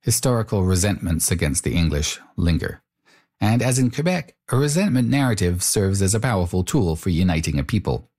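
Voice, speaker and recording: A man with a somewhat low voice hosts the interview. He asks questions with plain curiosity and reads narration in a measured, steady way. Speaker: host and narrator.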